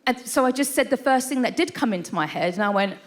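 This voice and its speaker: A woman's voice: a little high, animated, quick pace. A woman in her thirties doing a stand-up set on a theatre stage, chatty and self-deprecating, often tossing in "right?" asides. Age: 30s. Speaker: stand-up comedian. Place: live theatre stage with audience.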